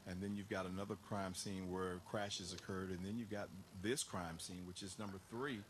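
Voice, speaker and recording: Man, TV crime analyst. Lowish voice, live explanatory delivery, audible outdoor ambience. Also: fairly even intonation, normal speed. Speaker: crime and safety expert on TV news. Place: outdoors at a crash scene. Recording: clear outdoor ambient noise.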